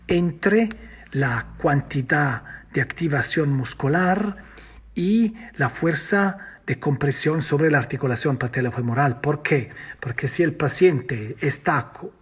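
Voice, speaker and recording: Lecturer, male, non-native Spanish speaker, husky and a bit high, lively self-questioning delivery. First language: not Spanish. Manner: lively, asks and answers his own questions. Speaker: physiotherapy lecturer. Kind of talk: teaching a course, explaining slides.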